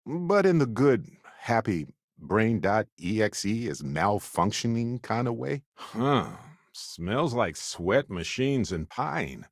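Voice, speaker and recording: Deep-voiced, wry space explorer. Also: male voice, sing-song, takes it slowly, few pauses, no echo, clean studio sound, exaggerated voice. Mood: wry, curious. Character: warm, witty, adventurous male space explorer companion. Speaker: video game voice actor. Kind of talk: acted video game companion dialogue.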